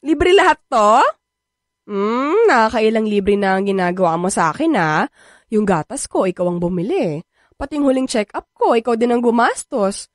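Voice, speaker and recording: An actress voices a young Filipino woman in a radio drama, high-pitched and very animated. She is coquettish and pushy, wheedling and teasing playfully in a dry studio sound. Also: normal speed, dry recording, lightly acted.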